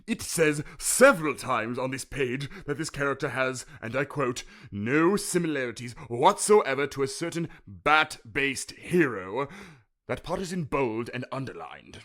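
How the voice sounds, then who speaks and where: A man's voice: medium pitch, animated, unhurried, a bit bright. A man playing a fussy, wry villain in a comic audio drama, with a pompous, mock-earnest manner. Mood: dry. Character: fussy, wry male villain stuck in prison.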